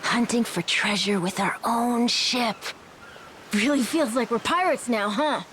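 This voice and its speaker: A woman's voice: on the high side, unhurried, a bit bright, a little hiss or hum. A woman voicing an eager, wide-eyed young pirate crewmate in a video game, gushing with childlike enthusiasm. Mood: thrilled.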